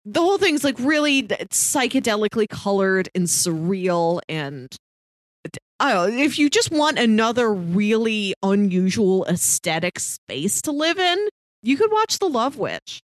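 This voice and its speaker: A woman's voice: mid-range voice, very animated, measured pace, no room sound, clean studio sound. A woman co-hosting a film podcast, talking casually and descriptively in a thoughtful way. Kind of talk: casual movie discussion.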